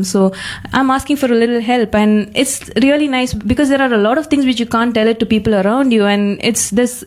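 A woman's voice: on the high side, a little mellow. A twenty-year-old woman speaking conversationally in a personal discussion, often hesitating and rephrasing as she explains herself.

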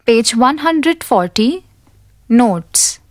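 Woman, audiobook narrator, slow and deliberate, dry close sound. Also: a little high, animated, no room sound. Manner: slow, careful, deliberate reading. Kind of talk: reading a textbook aloud.

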